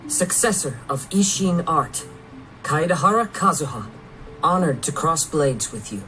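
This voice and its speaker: Bright-voiced formal young swordsman. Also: on the high side, lively intonation, a little slow, faint music behind, poor audio. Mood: solemn formal challenge. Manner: formal, ceremonious.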